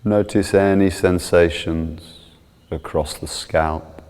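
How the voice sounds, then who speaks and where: A man calmly guiding a meditation, soothing and measured, with long pauses between short instructions. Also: sits low, smooth, long reverb tail. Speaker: yoga and meditation teacher.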